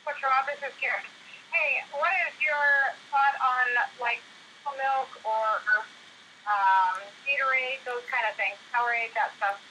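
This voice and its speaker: A woman phones into a group conference call with a casual question. Her voice is fairly high and bright, heard over a telephone line with faint noise. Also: fairly even intonation, measured pace. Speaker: caller asking a question.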